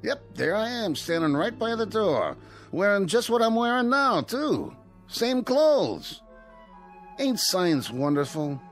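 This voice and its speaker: An actor playing a dim, affable escaped convict in a radio drama, folksy, naive and ingratiating. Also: male voice, high voice, sing-song, soft background music, exaggerated voice. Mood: delighted. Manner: folksy, slow-witted, ingratiating.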